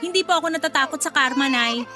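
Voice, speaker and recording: A woman playing a bitter, defiant young mother in a radio drama, irritated and sharp-tongued. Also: sits high, quick pace, natural voice. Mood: cold, resentful. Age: young adult. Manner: irritated, sharp-tongued, whining back at parents. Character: bitter, defiant young mother who rejects her baby. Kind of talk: Tagalog radio drama acting. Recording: loud music under the voice.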